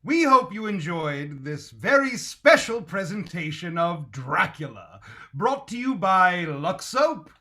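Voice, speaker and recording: An actor playing a showy, upbeat old-time radio announcer who projects every line. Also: male voice, sits high, sing-song, slow pace, sharp tone, exaggerated voice.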